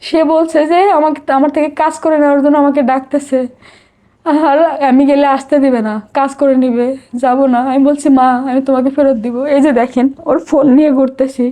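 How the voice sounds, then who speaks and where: A woman's voice: high-pitched, flat delivery, fairly quick, dark tone. A middle-aged Bangladeshi woman telling her life story in a confessional interview, emotional and outpouring, often repeating herself.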